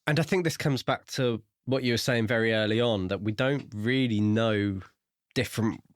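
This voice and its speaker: A man's voice: medium pitch, normal speed, no room sound, studio quality. A man co-hosting a podcast, chatting casually about a book. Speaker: podcast co-host.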